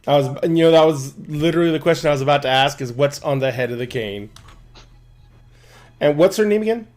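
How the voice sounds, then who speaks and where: A man's voice: fairly high pitch, fairly quick, a little mellow. A man narrating a tabletop game as game master, casual and hesitant with many fillers.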